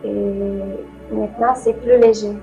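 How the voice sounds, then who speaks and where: A woman as a guest in a casual talk, speaking hesitantly with many pauses. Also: medium pitch, fairly even intonation, gravelly, lo-fi sound. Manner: slow, hesitant, full of pauses. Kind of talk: casual conversation. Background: soft new-age music.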